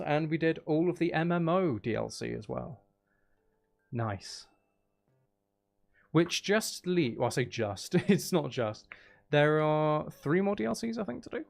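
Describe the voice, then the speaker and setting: Creaky-voiced man streaming a game. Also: on the high side. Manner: talks to himself, muttering and exclaiming. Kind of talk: casual livestream gameplay commentary.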